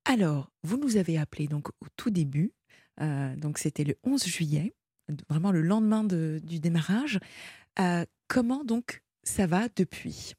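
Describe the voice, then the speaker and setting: Mellow, husky-voiced woman radio host. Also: fairly low pitch, expressive, a little slow, no room sound, studio quality. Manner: gentle, hesitant, fills in for the caller. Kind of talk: late-night phone-in radio. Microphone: studio microphone.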